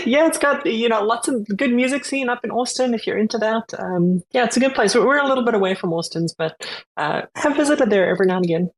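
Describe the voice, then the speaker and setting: A woman's voice: on the high side, a little fast. A woman from Zimbabwe and South Africa with a southern African accent, chatting as a guest in a friendly, good-humoured way. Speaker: podcast guest. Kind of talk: casual chat.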